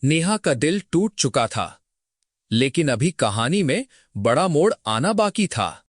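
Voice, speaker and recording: A man narrating a cartoon story in an expressive, dramatic way. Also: a little high, a little slow, dry recording, studio quality.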